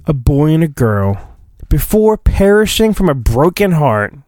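A man hosting a podcast, giving a theatrical mock-dramatic reading of a storybook with drawn-out phrasing and goofy, rambling asides. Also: on the high side, very animated, a little mellow, no room sound, studio quality.

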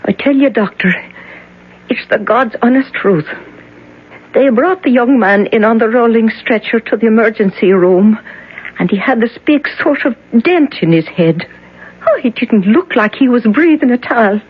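An actress playing an excitable, superstitious older cleaning woman with a lilt in a 1970s radio drama. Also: a little high, normal speed, breathy edge. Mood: insistent. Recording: dull lo-fi 1970s radio broadcast.

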